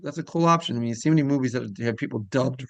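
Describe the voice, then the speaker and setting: A man's voice: mid-range voice, rapid-fire, vocal fry, no echo. A man hosting a casual interview, asking questions and reacting conversationally to his guest. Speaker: interviewer, show host.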